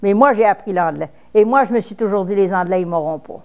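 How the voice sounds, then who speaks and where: A woman's voice: medium pitch, fast talker, muffled recording. A woman in her fifties from Quebec with a Québécois French accent, speaking frankly in a personal interview, with false starts. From Quebec, Canada.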